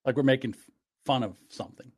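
A man co-hosts with a joking, bantering manner in loose, casual chat. His voice is mid-pitched and sounds dry and close to the mic. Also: fast, a little mellow, breathy edge, no room sound. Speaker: podcast co-host.

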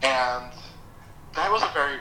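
A man from Nigeria whose first language is Yoruba, telling his life story slowly and reflectively as a podcast guest. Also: mid-range voice, lively intonation, bright, forceful tone, roomy sound, a little hiss or hum, low-quality recording. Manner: slow, reflective, hesitant with pauses. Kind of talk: personal storytelling.

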